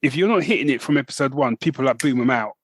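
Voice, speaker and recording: A man's voice: medium pitch, expressive, fast talker, no echo, studio quality. Chatty man on a podcast. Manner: chatty, rambling with restarts. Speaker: podcast co-host. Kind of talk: casual chat.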